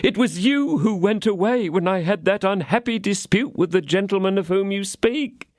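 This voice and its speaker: A man's voice: high voice, animated, normal speed, darkish tone, no echo, studio quality, pitched above the performer's own voice. A man voicing a frightened, fawning innkeeper who pleads in a lamenting tone. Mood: pleading. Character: trembling fawning middle-aged male innkeeper.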